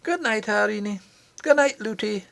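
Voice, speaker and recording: Brave young miner lad for a storybook, performed by a man: cheerful, kindly, lifted pitch. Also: unhurried, gravelly, a bit bright, no room sound, heavily acted. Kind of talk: audiobook character voice.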